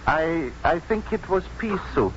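Mild, plain-spoken ex-convict, 1950s radio play. Halting delivery, higher register, light hiss. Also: male voice, sing-song. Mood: deadpan, mild. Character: slow, simple, mild-mannered male ex-convict, earnest storyteller. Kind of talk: 1950s radio drama acting. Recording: muffled, noisy 1950s radio broadcast.

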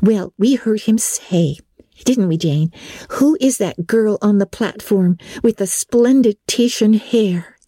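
A romantic, chatty teenage girl, played by a woman narrator, high in pitch and eager to share. Her manner is gushing, her tone soft, in a dry storybook recording. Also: takes it slowly, no room sound. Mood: eager, admiring.

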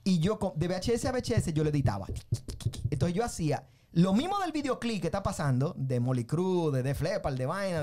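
Mellow Dominican man telling stories. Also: fairly high pitch. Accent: Dominican Spanish. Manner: animated, tag questions, repeats himself. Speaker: guest, filmmaker and musician. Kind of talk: casual interview storytelling.

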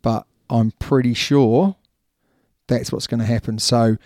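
Podcast co-host, male, New Zealand accent, dark mellow voice, dry close sound, loose rambling delivery. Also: medium pitch, steady tone, measured pace, no room sound. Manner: chatty, laughs easily, rambling asides. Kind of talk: casual rugby podcast chat.

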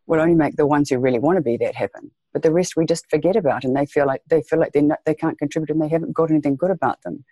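Earnest New Zealand woman, mellow voice. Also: deep voice, racing, few pauses, no echo. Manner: earnest, emphatic, repeats phrases for stress. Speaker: guest, education leader. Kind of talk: podcast interview.

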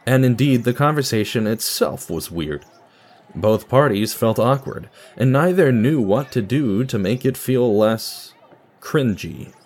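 A man narrates an audio drama from a script. He tells the story in a mid-pitched voice with lively, varied intonation. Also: unhurried.

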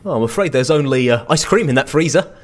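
An actor playing an eager, courteous petrol station attendant in an audio drama. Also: male voice, mid-range voice, animated, fast, lightly acted. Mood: helpful.

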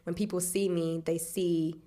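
A woman's voice: on the low side, level tone, measured pace, warm tone. A woman speaking casually as an interview guest. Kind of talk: casual chat.

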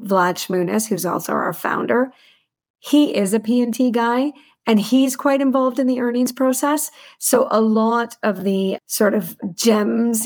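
A woman with a noticeably creaky, mid-pitched voice talks as a guest in an interview. She explains things in articulate detail, like a senior finance executive, using plenty of business jargon. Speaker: chief financial officer, podcast guest.

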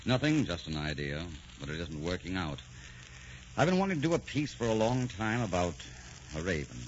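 An actor playing a tormented, brooding poet in love, anguished and halting in a melodramatic 1940s radio style. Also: male voice, deep voice, very animated, a little hiss or hum. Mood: quiet, wistful. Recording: muffled 1940s radio recording with music.

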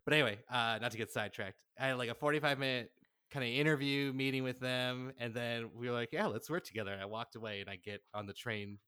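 A man speaking as a podcast guest in a casual, animated storytelling way, peppering his talk with "like". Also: medium pitch, quick pace, smooth, no room sound, studio quality. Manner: animated, chuckles through anecdotes, frequent "like". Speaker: podcast guest, audio drama writer-director.

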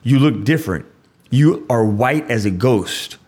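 A man's voice: mid-range voice, animated, unhurried, a little mellow, slightly rough. Conversational man explaining scripture. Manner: conversational, with frequent restarts and "like" asides.

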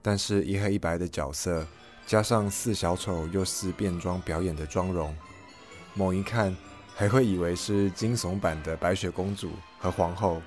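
Guy with a low voice reading out a podcast review, soft music in the back. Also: normal speed. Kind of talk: first-person scripted review narration.

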